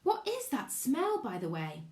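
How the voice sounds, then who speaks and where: A woman's voice: fairly high pitch, huge pitch swings, unhurried, a little mellow, voice pushed up, full character voice. A woman voicing a dry, sardonic young girl adventurer in a storybook, with pointed delivery. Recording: echoey room.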